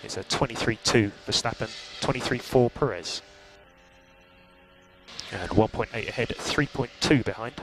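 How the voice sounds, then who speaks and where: A man working as a race engineer, calmly reading out gaps and lap times over team radio. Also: lowish voice, moderate pace, pauses often. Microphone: headset radio. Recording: noisy team radio line.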